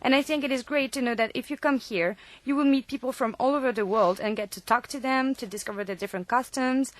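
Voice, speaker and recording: A woman with a higher voice presents a student radio show, reading her script in a clear, even way. The studio sound is close and dry. French is her first language. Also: fast, dry recording.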